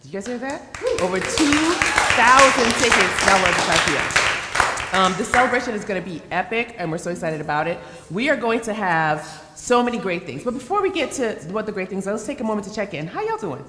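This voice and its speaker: A woman's voice: medium pitch, big pitch swings, a little fast, over a noisy crowd, heavy background noise. A woman hosting a live show, playfully teasing and chatting back and forth with her audience. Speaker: host. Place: event space with a live audience. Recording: echoey hall.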